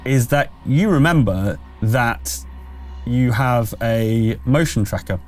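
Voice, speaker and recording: Male game master, mid-pitched, improvised conversational scene-setting with halting delivery, faint music bed. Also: a little mellow. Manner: halting, conversational, pieced-together descriptions. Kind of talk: improvised narration of a tabletop horror game.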